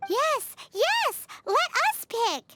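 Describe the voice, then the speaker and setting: A woman voicing a tiny, perky, blunt childlike fairy companion with a squeaky voice in a video game. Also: wildly swooping pitch, drawn-out words, heavy background noise, full character voice. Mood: eager. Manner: refers to self in third person, exclamatory. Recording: music behind the voice.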